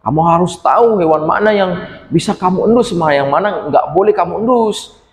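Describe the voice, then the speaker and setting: A man voicing a calm animal father who gently chides his child. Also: high-pitched, fairly quick, pitched above the performer's own voice. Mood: chiding. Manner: relaxed, mildly chiding. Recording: echoey room with long reverb.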